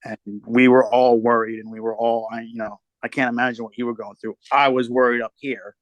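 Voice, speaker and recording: A man's voice: mid-range voice, level tone, a little fast, no room sound, dull audio. A man in his thirties, co-hosting a casual podcast chat, talking candidly and swearing now and then. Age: 30s. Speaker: podcast co-host.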